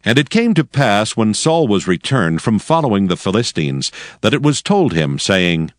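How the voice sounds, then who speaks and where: A man narrating scripture as a dramatized production, in a solemn, measured, formal storytelling manner. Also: fairly low pitch, big pitch swings, no echo, clean studio sound.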